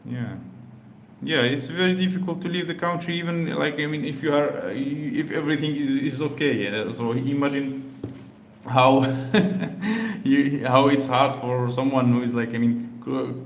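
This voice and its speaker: Man, from Algeria, reaction host, halting and filler-heavy delivery, roomy echo, faint hum behind. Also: mid-range voice. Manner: halting, many fillers and restarts. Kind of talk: casual commentary on a video.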